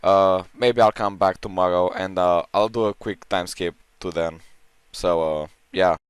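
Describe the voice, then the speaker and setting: A man with a hoarse, strained voice giving casual, unscripted commentary as he ranks books, rambling and opinionated with many restarts. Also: low voice, steady tone, a little slow, no echo. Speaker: book-ranking video creator.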